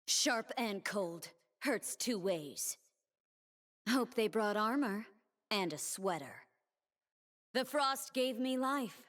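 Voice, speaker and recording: An actress playing a stern, proud female warrior in a fantasy video game, with dramatic, measured delivery and dry quips. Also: fairly high pitch, lively intonation, a bit bright. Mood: cold, dry. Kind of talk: video game character voice lines.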